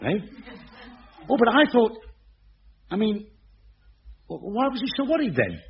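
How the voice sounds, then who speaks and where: An actor playing a chatty, chuckling busybody in a radio sitcom. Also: male voice, high voice, wildly swooping pitch, measured pace, breathy edge, full character voice. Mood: gossipy. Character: gossipy staff member, cheerful busybody. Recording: muffled old radio recording.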